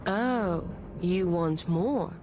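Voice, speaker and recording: A woman's voice: fairly low pitch, extremely slow, noisy background. An actress playing a self-assured, mysterious female fortune-teller who coaxes and teases in a visual novel. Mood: teasing. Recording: voice over prominent background music.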